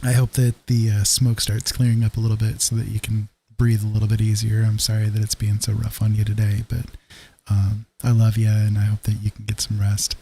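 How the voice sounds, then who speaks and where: A man with a low, soft-edged, relaxed voice hosts a casual livestream. He talks in a halting, low-key way, rambling along without any push or projection. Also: a little hiss or hum. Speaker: livestream host.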